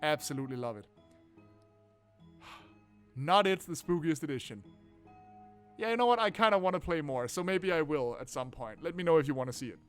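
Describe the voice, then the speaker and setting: A man with a fairly high voice hosting a game playthrough. He reads narration and comments casually and expressively, swearing freely, with faint music behind him. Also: lively intonation, brisk, long pauses, clean tone. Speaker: gaming video host.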